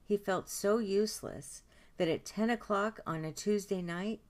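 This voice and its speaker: A woman narrating a children's picture book aloud in an expressive storytime style, stretching words for emphasis. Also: medium pitch, a little slow. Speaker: storytime host reading aloud.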